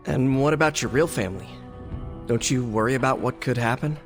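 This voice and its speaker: A man's voice: medium pitch, brisk, long reverb tail, soft background music, close to an everyday voice. A man playing a young American superhero in a game cutscene, chatty, jokey and self-deprecating, with nervous earnest rambling. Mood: curious, rueful. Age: young adult. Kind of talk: video game cutscene acting.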